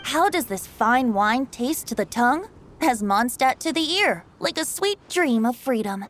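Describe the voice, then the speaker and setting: An actor voicing a playful young bard who speaks in a lilting, singsong way in a game. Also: male voice, extremely high pitch, expressive, measured pace, a bit bright, soft background music, exaggerated voice. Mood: singing verse. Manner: lilting, singsong, theatrical sighs. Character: playful young male bard, carefree and teasing.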